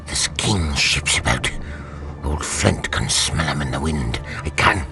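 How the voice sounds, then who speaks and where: An actor playing a dying, rum-soaked old pirate captain, haunted and menacing, in a slow gravelly ramble for a radio drama. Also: male voice, extremely low pitch, animated, heavily acted. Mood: delirious, menacing. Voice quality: gravelly, creaky. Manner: slow, rambling, wildly swooping delivery. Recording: music behind the voice.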